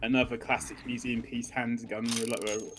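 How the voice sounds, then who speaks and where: A man's voice: mid-range voice, level tone, moderate pace, heavy background noise. A man co-hosting a gaming video in casual banter, joking dryly and swearing now and then. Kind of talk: casual banter over gameplay.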